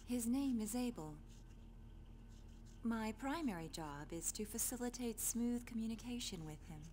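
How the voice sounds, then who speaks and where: A woman's voice: on the high side, expressive, unhurried, mellow, slight background noise. Self-assured, friendly female scientist. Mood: calm, kindly. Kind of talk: video game cutscene dubbing.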